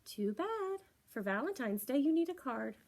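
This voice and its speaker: A woman's voice: sits high, very animated, moderate pace, darkish tone. A woman voicing a friendly, forthright female skunk in a children's storybook, curious and coaxing. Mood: frank, breezy. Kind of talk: children's storybook read-aloud.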